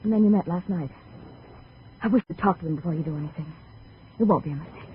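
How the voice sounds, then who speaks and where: A woman's voice: on the low side, quick pace, slight background noise, close to an everyday voice. An American actress playing a desperate, pleading, stubborn young woman in a 1950s radio drama. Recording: muffled, phone-like old radio recording.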